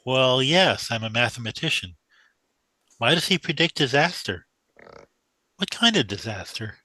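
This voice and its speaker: Guy doing a polite naive young scholar for a radio play, bright crisp tone, very dry sound. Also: mid-range voice, expressive, a little slow, no room sound. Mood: hesitant, earnest. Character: polite, earnest young male mathematician new to the big city. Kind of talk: radio drama acting.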